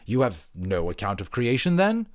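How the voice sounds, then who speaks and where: A man's voice: mid-range voice, huge pitch swings, normal speed. A man voicing an eager, inquisitive anthropologist in an audiobook, fussily polite and placating. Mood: curious. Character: eager, overly polite, mopey-turned-keen male anthropologist interviewer. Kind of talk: audiobook character voice.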